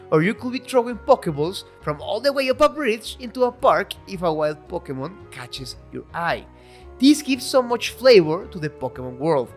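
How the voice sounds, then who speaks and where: A woman with a non-native English accent, talking in an excitable, casual video commentary, swearing now and then and joking at her own expense. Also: mid-range voice. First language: not English. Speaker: video essay host. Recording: faint background music.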